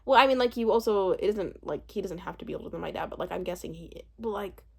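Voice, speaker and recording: A woman in her twenties giving a casual, chatty recap commentary, animated and rambling, often breaking off mid-thought. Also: fairly low pitch, fast, creaky, slightly rough. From born in Uganda, spent part of childhood there. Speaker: YouTube host reviewing a reality show.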